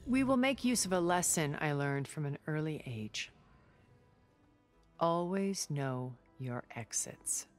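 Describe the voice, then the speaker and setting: Low-voiced, poised, secretive woman. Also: expressive, faint music behind. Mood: resolute. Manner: measured, eloquent, formal delivery. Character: poised, secretive thousand-year-old woman, refined space colonist. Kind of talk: dramatic video game cutscene acting.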